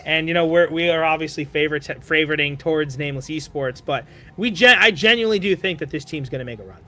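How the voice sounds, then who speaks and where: A man's voice: on the high side, fast, pushed and bright. A man casting a live esports stream, recapping results in a chatty way full of "you know.". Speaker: esports caster.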